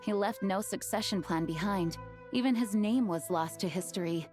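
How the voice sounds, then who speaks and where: A woman playing a dutiful, composed knight leader in a video game, formal and measured in delivery. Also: mid-range voice, a little mellow, soft background music, close to an everyday voice. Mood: solemn. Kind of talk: video game character acting.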